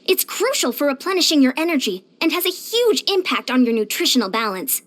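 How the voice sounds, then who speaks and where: A woman's voice: sits high, sing-song, brisk, a bit bright. A woman voicing a short, youthful female fitness coach in a video game, peppy, encouraging and earnest. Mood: cheerful, advising. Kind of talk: video game character voice lines.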